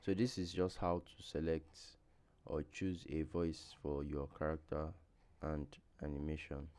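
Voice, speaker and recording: A man with a very low, smooth and resonant voice hosts a software tutorial. He walks through the steps with slightly hesitant, halting phrasing. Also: little pitch movement. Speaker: tutorial host. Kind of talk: step-by-step software tutorial narration.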